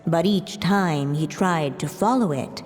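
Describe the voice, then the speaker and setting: A mysterious storybook narrator, voiced by a woman, tells a fairy tale slowly and solemnly. The tone is low and mellow, wrapped in long hall reverb with soft music behind. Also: lightly acted. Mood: grave, wistful. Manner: measured, grave, poetic. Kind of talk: scripted fairy-tale narration in a video game.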